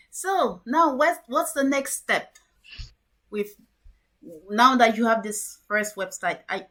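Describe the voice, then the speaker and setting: A woman hosting an interview, curious and enthusiastic with quick reactions. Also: mid-range voice, fairly bright tone, rough recording. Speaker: podcast host.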